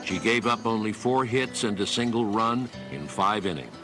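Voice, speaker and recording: A man with a fairly low voice narrates history from a script in documentary style. Guitar music plays clearly beneath him. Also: lively intonation, moderate pace.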